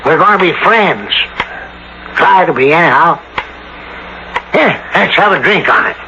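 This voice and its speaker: Slow, sly old farm uncle. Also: male voice, fairly high pitch, lively intonation. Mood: falsely friendly. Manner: sly, slow, mock-polite. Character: menacing, gloating old farmer uncle, falsely friendly. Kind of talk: radio drama acting. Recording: dull, noisy old radio broadcast.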